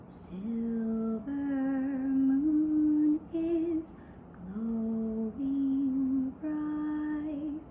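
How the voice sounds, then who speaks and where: A woman voicing a tender storybook grandmother who soothes a baby fairy, soft and drawn-out. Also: high-pitched, fairly even intonation, echoey room, faint music behind, pitched above the performer's own voice, poor audio. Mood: tender lullaby.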